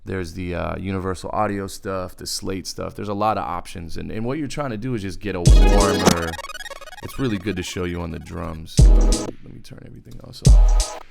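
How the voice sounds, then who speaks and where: A man giving a casual music software tutorial in a laid-back, slangy, rambling way, as host. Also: low voice, moderate pace, vocal fry. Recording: loud music over the voice. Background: hip hop beat and synth playback.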